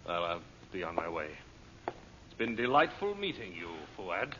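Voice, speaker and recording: An actor playing a sardonic, jumpy henchman in a radio thriller, mocking and excitable in a melodramatic style. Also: low-pitched, measured pace, heavily acted. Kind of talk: radio serial melodrama acting. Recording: muffled, noisy old radio recording.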